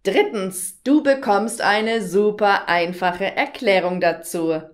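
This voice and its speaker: A woman in her thirties from southern Germany, a German teacher presenting a lesson to learners in a clear, didactic way with careful enunciation. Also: mid-range voice, takes it slowly. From southern Germany, near Stuttgart. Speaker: German teacher, workshop host. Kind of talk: instructional presentation to camera, addressing learners directly. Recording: roomy, echoing room sound.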